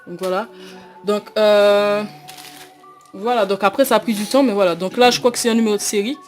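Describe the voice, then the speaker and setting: A woman's voice: medium pitch, fairly even intonation, a little fast, faint music behind. A young woman from Guadeloupe, not a native French speaker, walking through a phone unboxing with many fillers and hesitations. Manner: hesitant, many fillers and repeated "tout simplement". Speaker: unboxing vlogger. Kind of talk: casual phone unboxing walkthrough.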